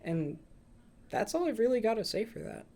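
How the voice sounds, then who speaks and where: A man's voice: high-pitched, big pitch swings, normal speed, gravelly, a little mellow. A young man hosting a casual movie review podcast, chatting with dry, flippant humour. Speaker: podcast host.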